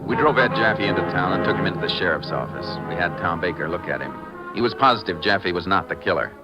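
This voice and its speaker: A man playing a terse, steady Texas Ranger who questions and narrates matter-of-factly in a 1950s radio drama. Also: deep voice, fast talker, over loud music. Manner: laconic, matter-of-fact questioning. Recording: muffled, noisy 1950s radio recording.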